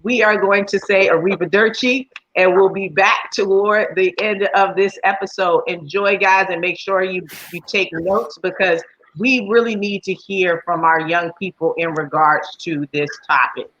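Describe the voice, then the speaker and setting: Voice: mid-range voice, steady tone, unhurried, a bit bright. A program host handing over to the students, upbeat and calling the audience "guys". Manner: upbeat, addresses the listeners as "guys". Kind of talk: casual host handover. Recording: dull lo-fi audio with faint noise.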